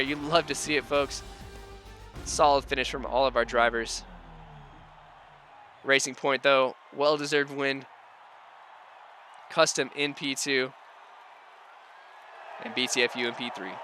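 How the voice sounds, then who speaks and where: Male sim racing host, bright tone, strained sore voice, faint music bed under him. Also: mid-range voice. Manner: energetic, upbeat, folksy. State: voice sore, losing his voice. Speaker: race commentator and host.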